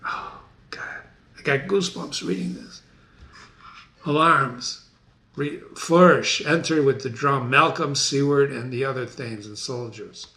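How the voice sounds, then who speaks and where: A man's voice: medium pitch, unhurried, pushed and bright. A man hosting a reading, talking casually with folksy, enthusiastic exclamations. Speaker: host and reader. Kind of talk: dramatic reading with casual commentary. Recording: echoey room.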